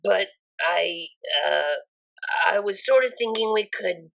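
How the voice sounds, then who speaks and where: A woman's voice: mid-range voice, lively intonation, takes it slowly, gravelly, fairly bright tone, heavily acted. Woman voicing an awkward, stammering young unicorn mare. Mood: awkward, hesitant. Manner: hesitant, stammering, informal. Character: awkward, flustered, smitten young female unicorn DJ pony.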